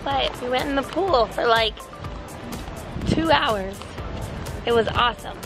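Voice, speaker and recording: A woman's voice: on the high side, unhurried. A young woman chatting cheerfully into a handheld camera while losing her voice, laughing often. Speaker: vlogger. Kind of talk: casual vlog chat. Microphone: handheld camera at arm's length. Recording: echoey with music behind.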